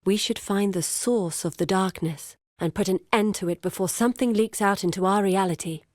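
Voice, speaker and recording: An actress voicing an earnest, guarded woman explorer in a video game, speaking in a measured, grave and deliberate way. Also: medium pitch, a little mellow, no echo, clean studio sound, close to an everyday voice. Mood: grave, purposeful. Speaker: video game character voice actor. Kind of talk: scripted game character dialogue.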